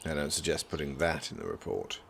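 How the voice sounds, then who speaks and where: An actor playing a dry, sardonic young prince in an audio drama, speaking formally with deadpan wit. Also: male voice, a bass voice, a little fast, creaky, a little hiss or hum, heavily acted. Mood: dry, teasing.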